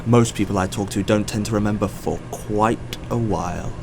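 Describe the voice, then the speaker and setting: An actor playing a mysterious boss in an audio drama, probing and composed, speaking with measured, deliberate pauses. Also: male voice, on the low side, background noise, close to an everyday voice. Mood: knowing, grim.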